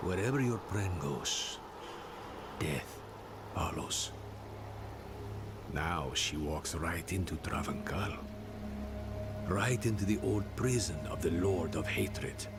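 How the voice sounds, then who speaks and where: An actor playing an older, sardonic spiritual guide and loyal friend in a video game, with a measured, wry delivery. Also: male voice, deep voice. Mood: probing, grave. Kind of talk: dramatic video game cutscene acting. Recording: loud music under the voice.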